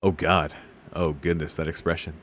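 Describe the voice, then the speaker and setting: A man's voice: very deep voice, level tone, moderate pace, a bit bright, a little hiss or hum. A man reading a visual novel aloud in a casual, slangy, amused way, swearing and reacting off the cuff. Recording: music behind the voice.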